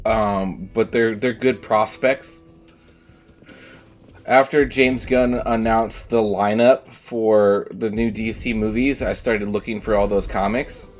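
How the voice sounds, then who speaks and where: A man's voice: lowish voice, fairly even intonation, slow, pauses often, faint music behind. A man streaming a game, rambling casually with lots of ums and the odd swear word. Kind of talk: casual chat while gaming. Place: at home, streaming.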